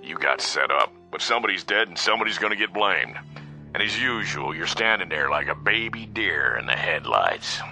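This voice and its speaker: An actor playing a gruff, sarcastic American policeman in a hardboiled radio drama, mocking and wisecracking as he talks. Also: deep voice, very animated, normal speed, bright, forceful tone, soft background music, full character voice. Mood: blunt, sardonic.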